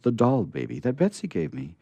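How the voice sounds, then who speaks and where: A man voicing a timid, kindly scarecrow in a storybook reading, halting and tearful. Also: medium pitch, sing-song, vocal fry, mellow, slightly rough, no room sound, highs cut off, voice pushed up, full character voice. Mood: pleading, tearful. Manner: halting, sobbing delivery. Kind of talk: storybook character voice in read-aloud.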